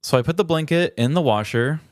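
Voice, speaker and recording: A man's voice: a little high, lively intonation, a little fast, no room sound, clean studio sound. A man telling stories casually as a podcast co-host, with wry, self-deprecating humor. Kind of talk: casual comedic storytelling chat.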